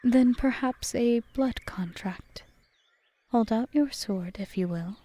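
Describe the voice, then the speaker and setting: A woman playing a cunning, possessive, seductive witch in an audio roleplay, speaking in a formal, measured, softly whispery way. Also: on the high side. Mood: calm, solemn. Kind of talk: ASMR audio roleplay, scripted monologue.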